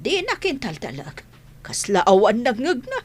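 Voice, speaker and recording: A woman's voice: on the high side, wildly swooping pitch, a little slow, exaggerated voice. An actress playing an exasperated, scolding female family member with an Ilocano accent in a radio drama.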